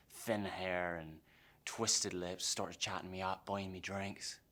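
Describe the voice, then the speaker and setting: Embittered pirate mutineer, male actor, stage monologue. Brooding and defiant, menacing when hushed, impassioned when roused. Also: fairly low pitch. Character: embittered, defiant English pirate mutineer, a former pressed sailor. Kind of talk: theatrical dramatic monologue.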